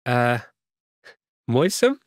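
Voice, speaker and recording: A man's voice: medium pitch, expressive, slow, no room sound, studio quality, voice pushed up. A man voicing a friendly young Ukrainian woman in a storybook reading, speaking with a Ukrainian accent and searching hesitantly for words. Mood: eager, halting.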